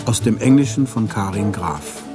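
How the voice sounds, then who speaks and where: A man's voice: fairly low pitch, normal speed, over loud music. A man announcing a radio play and its cast in a formal, scripted style.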